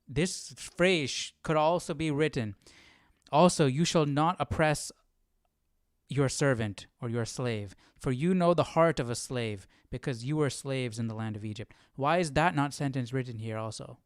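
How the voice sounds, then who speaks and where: A man's voice: medium pitch, moderate pace, no echo, clean studio sound. A man hosting a casual live Bible study, thinking aloud, questioning and often repeating phrases.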